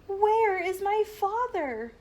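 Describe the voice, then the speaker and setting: A woman's voice: very high-pitched, sing-song, slow pace, darkish tone, voice pushed up, exaggerated voice. Woman playing an earnest, anxious young knight's son. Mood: alarmed. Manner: earnest, exclaiming.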